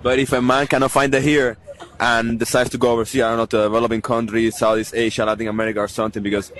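A man interviewing passers-by on a city street, asking long, measured questions. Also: mid-range voice, flat delivery, fairly bright tone.

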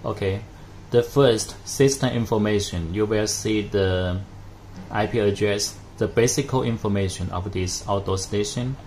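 A man narrating a hands-on product tutorial in a halting, step-by-step way. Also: fairly low pitch, a little mellow. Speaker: product demonstrator. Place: indoors at a desk with devices. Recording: noticeable background noise.

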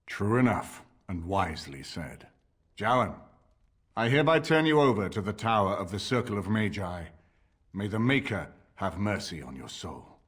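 An actor playing a grave older lord in a video game, speaking in a formal, measured, commanding way. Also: male voice, lowish voice, sing-song, a bit bright, slightly rough, full character voice. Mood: solemn, resolute. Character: grave, dignified older bearded nobleman lord. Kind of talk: video game dramatic acting.

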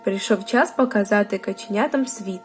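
A woman's voice: medium pitch, moderate pace. A woman reading a scripted children's bedtime story as a storyteller. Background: music.

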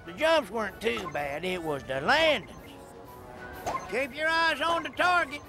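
Goofy southern tow truck, braggy folksy drawl, really animated, music behind. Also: male voice, extremely high pitch, unhurried, heavily acted. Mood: proud, excited. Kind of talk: animated cartoon storytelling.

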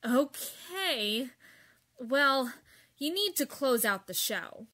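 A woman's voice: sits high, lively intonation, drawn-out words, pushed and bright, full character voice. A woman playing an anxious, accusing colleague in a comic audio skit. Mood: relieved, wary. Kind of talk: comedic audio drama acting.

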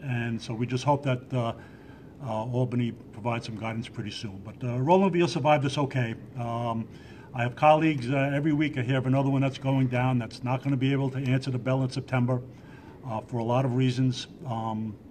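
A man's voice: mid-range voice, fairly even intonation, fairly quick, a little mellow. Brief: man, business president at town hall, easygoing, filler-heavy, faint background hiss. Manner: conversational, frequent uh fillers. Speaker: transit company president. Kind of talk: answering town hall questions.

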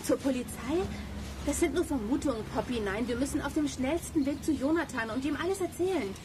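A woman's voice: high voice, fairly quick, noisy background, close to an everyday voice. An actress playing a level-headed girl detective in a children's radio play. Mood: urgent.

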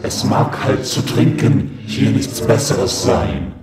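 Slow, deep, echoing demon. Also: male voice, lively intonation. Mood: grim declaiming. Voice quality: distorted, booming, monstrous. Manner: slow, drawn-out dramatic declamation. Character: evil male demon devil, slow and menacing. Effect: pitched-down demon filter with echo and reverb.

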